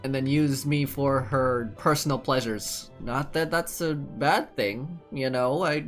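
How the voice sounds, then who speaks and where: A man's voice: medium pitch, a little slow. A young man giving casual, slangy playthrough commentary as a game streamer, rambling and thinking aloud. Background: game music.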